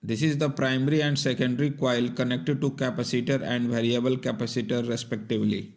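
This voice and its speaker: A man's voice: medium pitch, level tone, fairly quick. A man narrating a scripted technical tutorial in a methodical, step-by-step instructional manner. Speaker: repair tutorial presenter.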